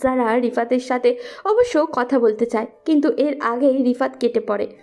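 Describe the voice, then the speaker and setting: Woman reading out a love story, really high pitch but soft mellow tone. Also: lively intonation, normal speed. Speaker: story narrator. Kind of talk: scripted romantic story narration.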